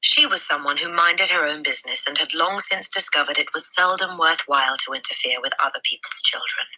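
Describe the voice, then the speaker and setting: Very bright, expressive woman storyteller. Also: deep voice, brisk, rough recording. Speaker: teacher reading aloud.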